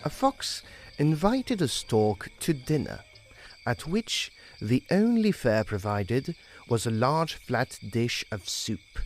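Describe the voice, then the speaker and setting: Slow, highly expressive male storyteller. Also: faint music behind. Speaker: guest storyteller.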